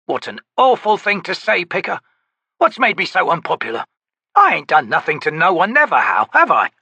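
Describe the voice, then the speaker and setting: A man voicing a rough, grumbling male sergeant with a growling, rustic way of talking in an audiobook. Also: high voice, huge pitch swings, normal speed, bright, forceful tone, no echo, pitched above the performer's own voice, full character voice.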